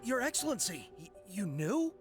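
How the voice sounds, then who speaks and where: A zealous soldier with a fairly high, lively voice. He is earnest, deferential and impassioned, as in video game dialogue, with music clearly playing behind him. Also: normal speed. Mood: pleading, fervent. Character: earnest, zealous young male soldier devoted to his leader. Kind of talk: scripted video game voice acting.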